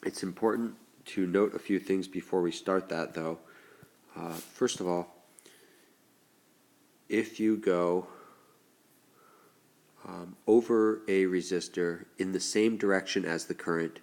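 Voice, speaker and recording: A male teacher with a stuffed-up, congested voice, slowly explaining a lesson step by step as he writes. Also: low voice, steady tone, darkish tone. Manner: slow, deliberate explaining while writing. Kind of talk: recorded classroom lesson.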